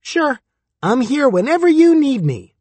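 Squeaky dopey talking dick, super high and eager. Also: male voice, sing-song, measured pace, darkish tone, no room sound, heavily acted. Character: talking penis, squeaky simple-minded eager son.

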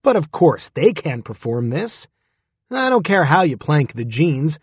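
A brash, mocking man in his thirties ranting to a crowd, voiced by a male narrator. His pitch leaps about. The voice is slightly husky and dry. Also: big pitch swings, unhurried, dry recording, full character voice. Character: big brash man in his thirties, provocative stage ranter.

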